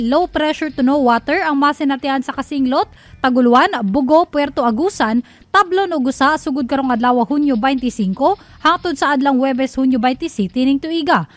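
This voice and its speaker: A woman's voice: sits high, dry recording. A woman with a Filipino accent reading a scripted radio news bulletin as the anchor.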